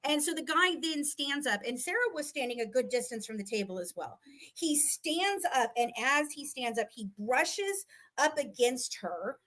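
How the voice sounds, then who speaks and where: A woman with a fairly high, sharply bright voice recounts events in an animated, casual way. She is emphatic and salty, slipping into re-enacted dialogue as she goes. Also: moderate pace. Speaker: guest recounting a legal dispute.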